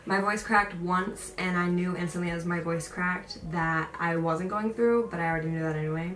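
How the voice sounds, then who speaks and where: A woman's voice: lowish voice, steady tone, normal speed, fairly bright tone. A young woman chatting casually as a vlogger, informal and emphatic, repeating phrases as she tells stories and gives encouraging advice. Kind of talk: casual chat to camera, storytelling and advice. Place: indoor room. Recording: roomy echo, distant mic, faint noise.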